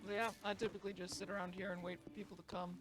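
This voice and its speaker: A man's voice: high-pitched, flat delivery, racing. A man roleplaying a saloon keeper in a western game, talking casually and rambling a bit, with self-deprecating remarks. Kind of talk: in-character western roleplay chat.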